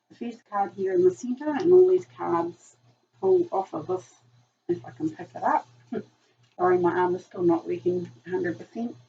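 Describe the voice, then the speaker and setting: A woman with a New Zealand accent, hoarse and gravelly from a virus, talking in a low voice. She rambles through a casual tarot reading with frequent ums. The mic sits some way off, and the dull recording carries a faint hiss. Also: dark tone. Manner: chatty, rambling, many ums. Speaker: tarot reader. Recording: distant mic, dull sound, faint noise.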